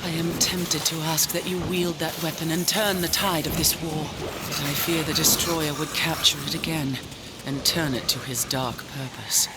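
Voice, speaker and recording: A woman's voice: deep voice, steady tone, moderate pace, very noisy, natural voice. A woman playing a battle-weary, proud angel commander in a video game, speaking with solemn, formal resolve. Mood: proud, bitter. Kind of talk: video game cinematic acting.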